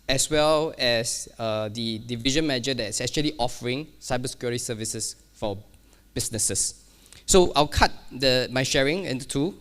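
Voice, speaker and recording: A man's voice: medium pitch, a bit bright, smooth. A man giving a keynote at a conference, speaking casually, with many fillers and restarts and a self-deprecating touch. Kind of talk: keynote talk on cybersecurity. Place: conference hall.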